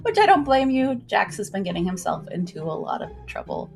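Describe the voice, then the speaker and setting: A woman's voice: mid-range voice, big pitch swings, fairly quick, creaky, soft background music. A woman hosting a casual livestream, talking informally in a chatty, folksy way as she introduces and recaps a story.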